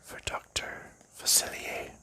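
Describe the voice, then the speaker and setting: A man whispering softly through a calm, slow ASMR unboxing of a board game, explaining each piece as he goes. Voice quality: soft unvoiced whisper.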